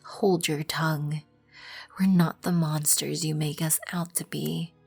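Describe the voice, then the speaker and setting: A woman performs an imperious vampire queen in an ASMR roleplay. Her voice sits a little low, breathy and husky, and she speaks very slowly and formally. She is composed and proud, protective of her colony. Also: level tone, a little mellow, full character voice. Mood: stern, indignant. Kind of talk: ASMR roleplay, scripted second-person acting. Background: background music.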